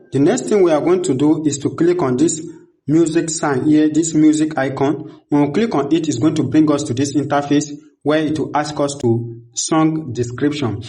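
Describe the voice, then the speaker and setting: Higher-pitched man hosting a tutorial. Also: dark tone. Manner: repetitive, instructional, narrating each click aloud. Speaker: tutorial host. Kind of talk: spoken step-by-step screen tutorial.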